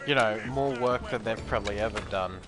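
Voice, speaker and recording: A man's voice: lowish voice, a little fast. A man giving casual gameplay commentary, ranting in an opinionated, profane way. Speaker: gaming commentator. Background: loud game music.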